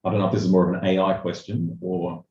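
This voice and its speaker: A man facilitating a webinar question session over a video call, putting questions in a measured, hesitant way. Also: low voice, little pitch movement, warm tone. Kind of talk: webinar moderation, asking questions. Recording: roomy, dull video call audio.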